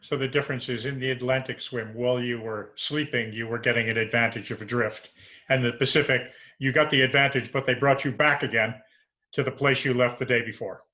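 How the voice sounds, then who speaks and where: Man, host, mid-pitched voice, dull lo-fi audio, repeats words starting sentences, video call. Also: steady tone, brisk, pushed and bright. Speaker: host, interviewer. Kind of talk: interview conversation. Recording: dull lo-fi sound.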